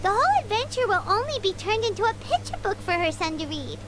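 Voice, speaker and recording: A woman voicing a tiny, excitable, childlike fairy companion in a video game, squeaky and exclamatory. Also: fast. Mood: curious. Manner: speaks of herself in third person, exclamatory. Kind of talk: cartoonish video game voice acting. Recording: music under the voice.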